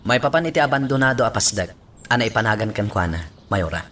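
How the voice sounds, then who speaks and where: An actor playing an earnest, firm and direct police chief in an Ilocano radio drama. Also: male voice, lowish voice, animated, quick pace, a bit bright, a little hiss or hum.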